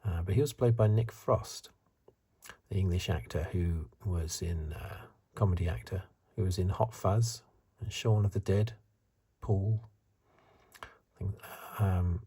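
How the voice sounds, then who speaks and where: Low, hushed, breathy man doing ASMR. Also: unhurried. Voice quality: breathy, soft, partly whispered. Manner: hesitant, musing, conversational. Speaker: ASMR reviewer. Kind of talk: soft-spoken ASMR commentary.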